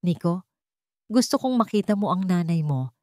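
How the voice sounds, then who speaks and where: Woman narrator as kindly male chairman, Filipino accent, dignified, measured, reassuring, story drama, dry recording. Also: lowish voice, lively intonation, warm tone, no echo, natural voice. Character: kind, dignified male company chairman, a devoted son.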